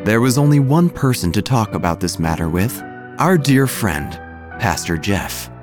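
A man's voice: lowish voice, animated, normal speed, over music. A man narrating scripted comic horror in a wry, theatrical manner.

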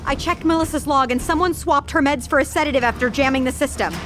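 A woman's voice: high-pitched, fast talker, fairly bright tone, faint music behind. An actress playing an embattled, guarded and insistent female commander of a Mars colony in an audio drama. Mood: alarmed, urgent.

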